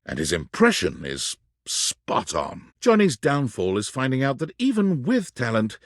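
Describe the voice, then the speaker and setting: A man narrating a dating game in the second person, wry and measured. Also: medium pitch, breathy edge, no echo, studio quality.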